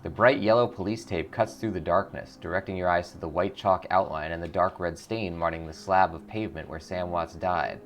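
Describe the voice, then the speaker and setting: A man's voice: deep voice, fairly even intonation, brisk, few pauses. A man hosting a game playthrough, reading narration and adding his own commentary. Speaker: gaming channel host. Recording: clear background noise.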